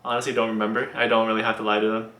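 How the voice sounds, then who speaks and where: Bright-voiced young man answering viewer questions. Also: fairly low pitch, reverberant. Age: young adult. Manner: relaxed, halting, many ums and restarts. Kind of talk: casual Q&A vlog.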